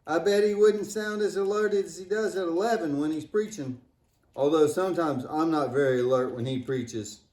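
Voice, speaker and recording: A man's voice: fairly high pitch, normal speed, darkish tone, natural voice. A man playing a cheeky, wisecracking, imaginative boy puppet in a scripted church puppet show, joking and exclaiming playfully. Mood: curious, joking. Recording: echoey room.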